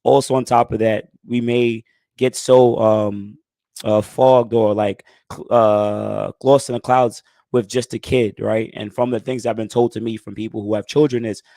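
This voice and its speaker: A man in his thirties hosting a casual live stream Q&A, talking in a laid-back, conversational way, his voice a bit hoarse as he gets it back. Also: on the low side, level tone, normal speed, mellow, no room sound. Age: 30s. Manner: laid-back, conversational, frequent "you know what I'm saying". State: hoarse, recovering lost voice. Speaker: channel host answering live chat.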